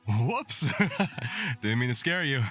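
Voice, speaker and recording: A man's voice: mid-range voice, sing-song, drawn-out words, a bit bright, soft background music, full character voice. A man voicing a cheerful, teasing bartender in a fantasy visual novel. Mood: cheerful, apologetic.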